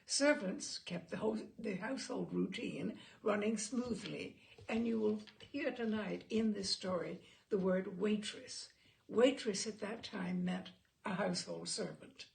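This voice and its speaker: A woman reading literary stories aloud as a storyteller, with expressive, theatrical phrasing. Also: mid-range voice, unhurried, creaky, slight background noise. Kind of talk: literary storytelling with informal introduction.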